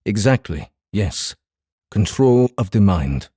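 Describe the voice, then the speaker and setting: A guarded samurai in a dramatized fantasy audiobook. His voice is dark and husky, his phrasing formal and measured, with a calm air of command and a lively rise and fall. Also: lowish voice, no echo, studio quality, full character voice. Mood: grave, guarded.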